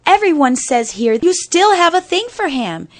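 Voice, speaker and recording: Woman playing high, lilting skeptical friend. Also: sing-song, moderate pace. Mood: teasing, skeptical. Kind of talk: radio play acting.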